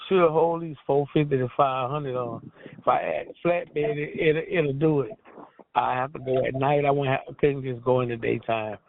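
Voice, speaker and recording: A man's voice: mid-range voice, steady tone, normal speed, creaky, slight background noise. A man calling in as a small business owner, chatting casually in an informal, plainspoken, colloquial way. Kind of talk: casual phone chat. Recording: tinny narrow phone line.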